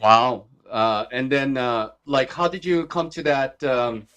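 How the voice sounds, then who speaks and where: A man's voice: medium pitch, steady tone, slow pace, fairly bright tone, smooth. A man hosting a live stream interview, speaking politely with lots of filler words. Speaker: interview host.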